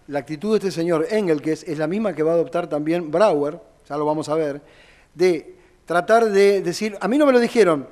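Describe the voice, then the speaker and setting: A high-pitched man delivers a courtroom closing argument as a defense lawyer. He speaks formally and reads long passages from documents aloud. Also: a little mellow.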